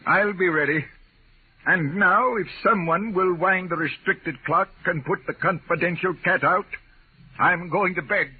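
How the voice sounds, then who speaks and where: An actor playing a fretful, idealistic professor with querulous, emphatic delivery in a 1950s radio drama. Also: male voice, high voice, a little slow. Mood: testy, sardonic. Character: fretful, indignant, idealistic male professor with psychic powers. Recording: muffled vintage 1950s radio recording.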